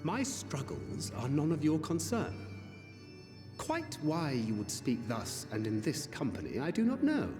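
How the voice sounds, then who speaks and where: A proud, bitter fantasy game adventurer, curt and formal. His fairly high male voice moves through very wide swings of pitch. Music plays behind him in a reverberant space. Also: huge pitch swings, a little slow. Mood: curt, offended. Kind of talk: scripted fantasy game dialogue.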